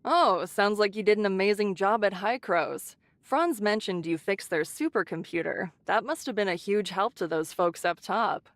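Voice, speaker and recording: A woman voicing a caring, brisk shelter leader who scolds in a motherly, teasing way, in an English anime game. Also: medium pitch, no room sound, lightly acted.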